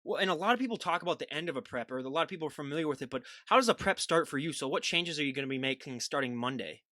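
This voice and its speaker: A man in his mid-twenties hosting a podcast, joking and excitable as he questions his guest. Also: on the high side, very fast, no room sound, studio quality. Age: 20s. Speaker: podcast host. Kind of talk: casual podcast interview.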